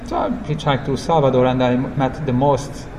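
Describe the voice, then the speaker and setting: A man who speaks English as a non-native language, telling travel stories as a guest in a casual interview, in simple, halting, reflective phrases. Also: mid-range voice, steady tone. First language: not English. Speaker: interview guest, long-term hitchhiking traveller. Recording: clear background ambient noise.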